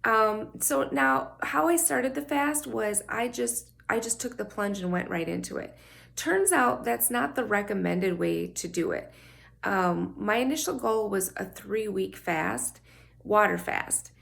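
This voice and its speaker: Woman with a scratchy, gravelly morning voice. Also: mid-range voice, animated, measured pace, fairly bright tone. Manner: candid, chatty, personal. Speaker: vlogger. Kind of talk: casual talk to camera.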